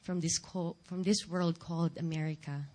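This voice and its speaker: Lower-pitched Filipino woman preaching. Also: steady tone, normal speed, mellow. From Philippines. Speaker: guest speaker giving testimony. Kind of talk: church sermon and personal testimony.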